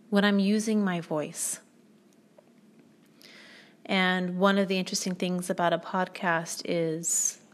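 A woman hosting her own podcast, talking in a soothing, reflective way and pausing often to gather her thoughts. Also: mid-range voice. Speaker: podcast host. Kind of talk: reflective spoken monologue.

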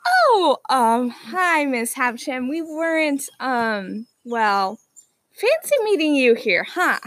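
Woman as a high-voiced, bold schoolgirl. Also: very animated, slow, a bit bright, no room sound, heavily acted. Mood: flustered, polite. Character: bold, curious schoolgirl, bossy with her companion. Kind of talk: amateur audio drama acting.

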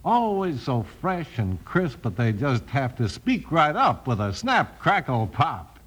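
Animated male old-time radio announcer. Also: mid-range voice, a little slow. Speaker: announcer and narrator. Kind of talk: 1950s radio announcing for children, scripted.

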